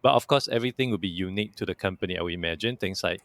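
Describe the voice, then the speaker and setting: A man's voice: deep voice, racing, fairly bright tone, dry recording. A man hosting a business podcast, asking curious, conversational questions with frequent stammered restarts. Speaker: podcast host. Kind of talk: interview questions.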